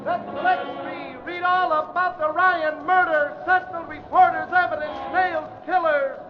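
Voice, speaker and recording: A street newsboy in an old radio drama. He bawls drawn-out headline cries in an extremely high male voice. Music and crowd noise swell behind him on the muffled broadcast. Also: steady tone. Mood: loud hawking. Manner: shouting, drawn-out calls. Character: bawling street newsboy hawking extra editions.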